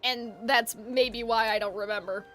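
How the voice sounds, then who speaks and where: A woman giving casual live gaming commentary in an animated, rambling, opinionated way. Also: fairly high pitch, moderate pace. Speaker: game streamer. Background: game music.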